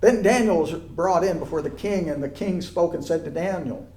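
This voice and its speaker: A man with a fairly high voice reads scripture aloud in a roomy, echoing space. His delivery is solemn and declamatory, each phrase set out deliberately. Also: warm tone. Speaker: scripture reader. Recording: echoey, roomy sound.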